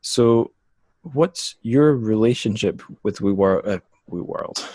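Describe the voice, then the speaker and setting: A man hosting a casual interview, speaking in a halting, thoughtful way as he works through his questions. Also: on the low side, warm tone, dry recording, clean studio sound. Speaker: podcast host and interviewer.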